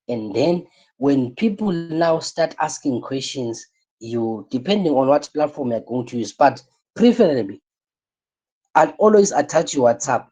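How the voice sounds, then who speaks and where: Weary husky-voiced man, business coach in a live class, dark mellow tone. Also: medium pitch, rough recording. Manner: repeats points, asks rhetorical "what?" questions. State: tired, voice strained.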